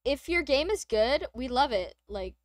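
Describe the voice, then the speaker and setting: Hoarse, giggly woman streamer. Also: high voice, lively intonation, a little slow, no room sound. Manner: giggly, chatty, rambling. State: nearly lost voice, sore throat. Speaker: streamer co-host. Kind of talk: casual stream chat reviewing games.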